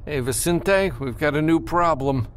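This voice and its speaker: A man's voice: fairly high pitch, a little slow, voice pushed up, close to an everyday voice. A man voicing a seasoned, unflappable male spaceship pilot with a dry, matter-of-fact manner, in a science fiction audiobook. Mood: urgent, dry.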